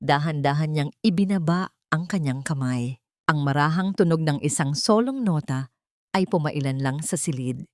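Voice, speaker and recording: A woman narrates a dramatic story in a measured, deliberate way. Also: deep voice, a little mellow, dry recording, studio quality. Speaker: audiobook narrator.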